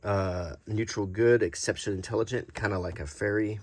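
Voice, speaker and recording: A man hosting an unscripted, ASMR-like show-and-tell in a halting, casual way. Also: fairly low pitch, fairly even intonation. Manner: halting, unscripted, hesitant delivery. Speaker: host.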